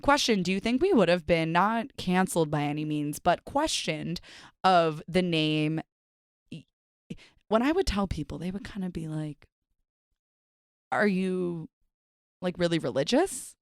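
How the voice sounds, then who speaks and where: Playful young woman, lowish voice, dry. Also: animated, a little slow, long pauses, no echo. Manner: playful, rambling, self-deprecating. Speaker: podcast guest. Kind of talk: casual chat.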